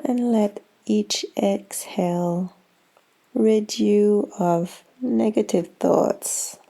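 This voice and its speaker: A woman guiding a yoga nidra meditation in a calm, soothing, unhurried manner with long pauses. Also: mid-range voice, creaky. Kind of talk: guided meditation.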